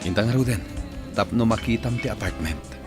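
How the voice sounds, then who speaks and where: A man's voice: lowish voice, normal speed, over music, lightly acted. A man playing an indulgent, easily flustered cheating husband in an Ilocano radio comedy drama, with an Ilocano accent.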